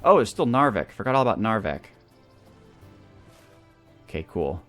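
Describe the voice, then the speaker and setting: Low-voiced man doing casual game commentary. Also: sing-song, normal speed, a bit bright, faint music behind. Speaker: let's play host. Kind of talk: casual gameplay commentary, thinking aloud.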